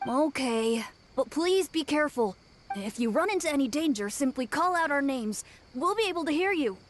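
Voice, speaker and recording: High-pitched, earnest young companion. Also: female voice, expressive, normal speed, slight background noise. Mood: caring. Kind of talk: video game dialogue acting. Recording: game music behind the voice. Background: background music.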